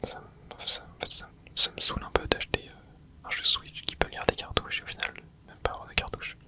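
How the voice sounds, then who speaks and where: Rambling whisperer chatting over a video game. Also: brisk. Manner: rambling, hesitant, many fillers and restarts; soft unvoiced whisper throughout. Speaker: ASMR gaming creator. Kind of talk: whispered ASMR gameplay commentary.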